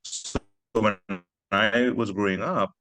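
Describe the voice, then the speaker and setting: A man's voice: on the low side, fairly even intonation, pushed and bright, no room sound. A man from Pakistan, an author speaking earnestly in a reflective conversation. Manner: earnest, measured, personal storytelling.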